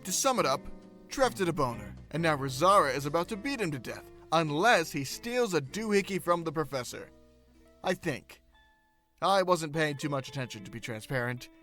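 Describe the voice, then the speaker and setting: A man's voice: fairly high pitch, expressive, moderate pace, sharp tone, soft background music. A man playing a mischievous, laid-back male student in an audio drama, joking in casual slang. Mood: breezy.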